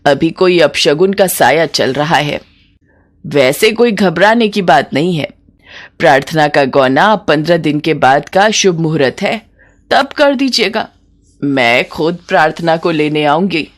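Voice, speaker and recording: A woman voicing a scheming middle-aged mother-in-law in a story, smooth and falsely polite. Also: low voice, expressive, measured pace. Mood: placating.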